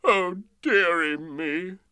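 A man's voice: sits high, slow, mellow, clean tone, no room sound, voice pushed up. A man voicing a fussy, childish, self-pitying king in a storybook poem, declaiming in a theatrical way. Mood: sulky, whimpering. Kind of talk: storybook verse character.

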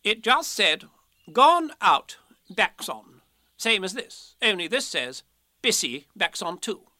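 A man's voice: high voice, big pitch swings, slow, bright, forceful tone, no room sound, clean studio sound, voice pushed up, full character voice. A man voicing a self-important, impatient rabbit in a children's story, curt, emphatic and officious. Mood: insistent. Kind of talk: children's storybook character reading.

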